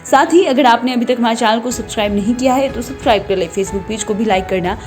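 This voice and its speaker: Higher-voiced woman reading news over music. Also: fast talker. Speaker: news reporter, narrator. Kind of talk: scripted news narration. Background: background music.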